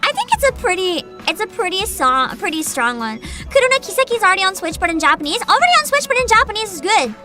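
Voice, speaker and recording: A woman hosting a livestream, chatting casually with viewers in an excitable, bubbly, giggly manner. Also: very high voice, fast talker, few pauses, soft background music. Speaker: livestreamer host.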